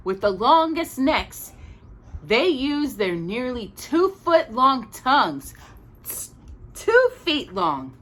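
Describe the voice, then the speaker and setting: A woman's voice: on the high side, a bit bright. A woman reading a children's picture book aloud as a storytime reader, expressively and with small asides to a young listener.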